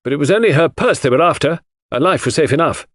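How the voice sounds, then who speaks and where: A man plays a gruff, loyal Englishwoman in an audiobook, indignant and outspoken. The voice sits high and swings widely in pitch. Sentences come short, blunt and clipped, in a dry close recording. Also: animated, fast talker, no room sound. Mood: gruff indignation. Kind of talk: audiobook character voice.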